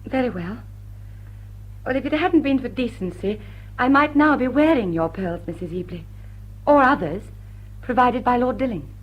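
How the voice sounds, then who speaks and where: Poised female swindler, high husky voice, wry and gracious, old dull soundtrack. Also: expressive, moderate pace, pauses often. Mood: wry composure. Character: poised, charming female thief and former shop girl. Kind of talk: vintage drawing-room drama acting.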